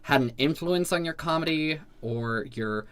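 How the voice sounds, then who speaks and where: A man's voice: on the high side, moderate pace, a bit bright, clear, resonant tone. A man hosting a casual interview, asking questions hesitantly with many fillers and laughing along. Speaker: podcast host.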